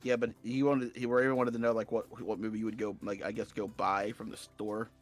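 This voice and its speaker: A man's voice: fairly low pitch, flat delivery, fairly quick. Casual male stream host over music. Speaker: livestream host. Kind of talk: casual chat, moderating questions.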